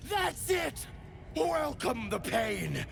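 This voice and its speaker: An actor playing a serene, fervently devout priest of a pain goddess in a video game, with theatrical, courtly tenderness and a breathy voice that slips into whispers. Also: male voice, very high-pitched, big pitch swings, very slow, fairly bright tone, raised voice, exaggerated voice. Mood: ecstatic fervour. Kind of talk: video game character acting.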